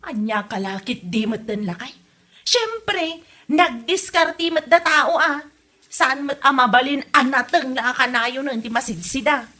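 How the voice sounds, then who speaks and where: A woman playing a feisty, evasive village wife who is quick to retort, in an Ilocano comedy drama podcast with an Ilocano accent. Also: high voice, lively intonation, sharp tone, exaggerated voice. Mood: defensive retorts.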